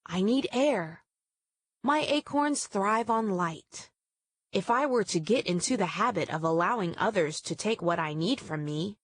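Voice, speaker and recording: A woman voicing a massive, proud female oak tree in a children's storybook, speaking in a firm, measured way and guarding her own strength. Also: medium pitch, no room sound, clean studio sound.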